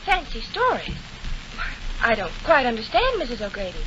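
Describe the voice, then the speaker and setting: A woman's voice: on the high side, wildly swooping pitch, moderate pace, sharp tone, exaggerated voice. An actress playing an earnest, flustered young woman, polite but protesting, in 1940s radio acting style. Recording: dull, noisy vintage radio with audience.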